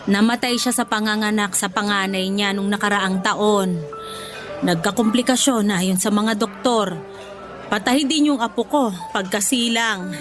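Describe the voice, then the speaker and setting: A woman's voice: mid-range voice, measured pace, over music, close to an everyday voice. An actress playing an affectionate, motherly older former nanny in a Filipino radio drama, with a Filipino accent. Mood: grieving.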